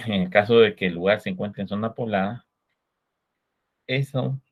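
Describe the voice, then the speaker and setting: Low-voiced Guatemalan man lecturing on law. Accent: Guatemalan Spanish. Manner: measured, explanatory, poses rhetorical questions. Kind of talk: recorded lecture. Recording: dull lo-fi recording.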